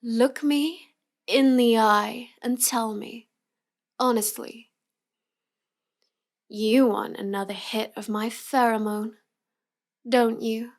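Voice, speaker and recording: A woman's voice: on the high side, fairly even intonation. A woman playing a cute, teasing young villainess in an intimate roleplay audio, drawing out her words as she mocks the listener. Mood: teasing, mocking. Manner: slow, teasing delivery with drawn-out words and giggles. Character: cute, teasing, mocking young villainess with mind-control powers.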